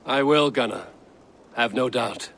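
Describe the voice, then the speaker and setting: An actor playing a steadfast, loyal Viking warrior in a video game cutscene, plain and earnest. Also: male voice, medium pitch, a little slow, a bit bright, slight background noise. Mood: resolute.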